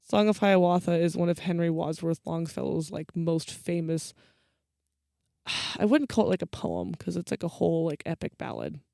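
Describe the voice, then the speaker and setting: A woman's voice: fairly low pitch, moderate pace, creaky, mellow, no room sound, studio quality. A woman co-hosting a podcast, telling folklore in a casual, teasing, storytelling way. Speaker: podcast co-host. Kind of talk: casual banter about folklore.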